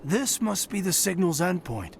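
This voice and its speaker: High-voiced young-sounding space marine. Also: male voice, big pitch swings, normal speed, gravelly, slight background noise, full character voice. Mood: focused. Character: male human space marine lieutenant, earnest, cautious. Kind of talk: video game voice acting.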